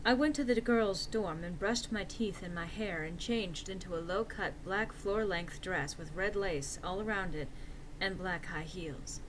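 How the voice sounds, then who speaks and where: A woman's voice: fairly low pitch, a little slow, a little hiss or hum, poor audio. A woman reading a story aloud as narrator in a theatrical, mock-serious and emphatic comic style.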